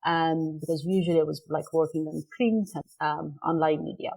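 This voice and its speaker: A middle-aged woman from Iran, a native Persian speaker, chats as an interview guest. Her voice sits low and sounds dark and mellow. She talks thoughtfully, with many ums, repeats and restarts. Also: steady tone, normal speed, creaky, rough recording. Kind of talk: casual interview conversation.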